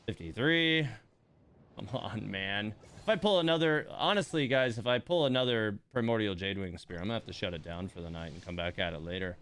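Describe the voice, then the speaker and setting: A man's voice: on the low side, fairly quick, fairly bright tone, clean tone. A man hosting a game livestream in casual chat, muttering exasperated asides to himself and his viewers. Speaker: livestream host playing a gacha game.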